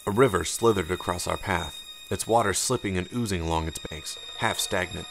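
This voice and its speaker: A man's voice: low-pitched, a little fast, vocal fry, noisy background. A man reading scripted story narration as an audiobook narrator, with music behind him. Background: music under the narration.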